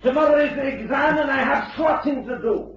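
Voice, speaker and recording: An impatient, rude young male student in a radio play, shouting at a very high pitch. He is far from the microphone in an echoey space, on a dull old recording. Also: brisk, a little hiss or hum. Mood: angry. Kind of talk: 1950s-60s British radio drama acting.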